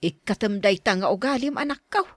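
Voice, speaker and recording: A woman plays an Ilocano mother admonishing her grown children in a radio-style family drama. Her pitch swings expressively as she reproves them. The recording has a dry, echo-free sound. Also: expressive, a little fast, fairly bright tone. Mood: reproving.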